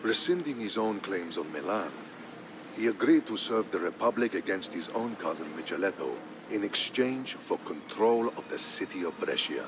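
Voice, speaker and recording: A man narrating a historical tale in a scripted, dramatic style, solemn and measured. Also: low voice, lively intonation, noisy background. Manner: solemn, measured storytelling delivery. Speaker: narrator of a strategy game campaign story. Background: background music.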